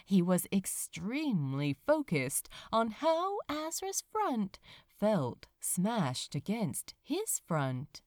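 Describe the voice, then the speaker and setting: A woman reading a story aloud as narrator, in an expressive scripted storytelling style. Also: mid-range voice, takes it slowly, no echo. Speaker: audiobook-style narrator of fan fiction.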